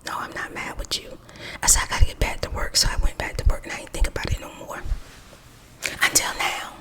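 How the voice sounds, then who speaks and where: A woman whispering a gossipy storytime while she does her makeup, close to the mic. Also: a little fast. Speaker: vlogger.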